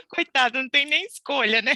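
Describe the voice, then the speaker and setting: A woman's voice: high-pitched, bright, forceful tone, dry recording, clean studio sound. A woman from Brazil, a podcast guest telling her immigration story in a chatty, laughing way with many restarts. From Brazil (Santos). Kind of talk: casual interview chat.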